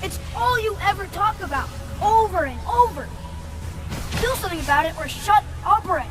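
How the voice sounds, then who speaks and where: A woman's voice: extremely high pitch, lively intonation, normal speed, music in the background, low-quality recording. A woman voicing a taunting, arrogant, petulant young boy god in a video game. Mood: scornful. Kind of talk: video game cutscene acting.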